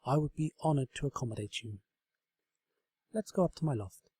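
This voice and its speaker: A man's voice: medium pitch, fairly quick, mellow, breathy edge, lightly acted. A man voicing an earnest, kind-hearted young female unicorn in a comic storybook, sincere and easily flustered. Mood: gentle acceptance. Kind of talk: comedic storybook reading.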